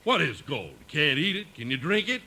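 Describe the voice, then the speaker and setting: High-voiced, gloomy prospector sidekick. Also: male voice, very animated, brisk, bright, forceful tone, breathy edge, exaggerated voice. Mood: mournful. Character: gloomy, whiny gold prospecting sidekick in the Yukon. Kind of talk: 1950s radio comedy acting. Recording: noisy old radio broadcast.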